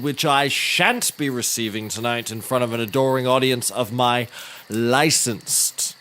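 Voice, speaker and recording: Pompous British gentleman detective. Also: medium pitch, slight background noise. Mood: dry, apologetic. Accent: British English. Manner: formal, verbose, genteel. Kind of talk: scripted comedy acting, storytelling.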